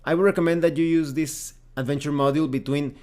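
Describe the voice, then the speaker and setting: A man presenting a semi-scripted review of a tabletop game in a measured, explanatory and emphatic way. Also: on the high side, steady tone, darkish tone.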